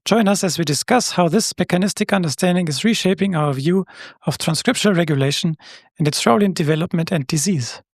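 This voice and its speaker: A man hosting a science podcast who reads a scripted introduction and then asks questions in a measured way, with some fillers. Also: sits high, no echo, clean studio sound. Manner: measured, uses fillers when asking questions. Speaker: podcast host.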